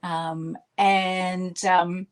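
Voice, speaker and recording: A woman's voice: fairly low pitch, level tone, drawn-out words, clear, resonant tone. Canadian lady in her middle years, chatty and upbeat, laughs a lot while telling her story. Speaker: podcast guest, healer and mentor. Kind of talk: casual interview chat.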